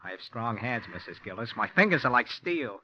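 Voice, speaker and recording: A disturbed young handyman swinging between resentful outbursts and cold menace in a vintage radio thriller. The voice is of ordinary pitch. The recording is muffled, like an old broadcast. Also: sing-song, fairly quick. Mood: coldly menacing. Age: young adult. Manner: paranoid, resentful, quietly menacing. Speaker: unstable handyman. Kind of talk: 1940s radio drama acting.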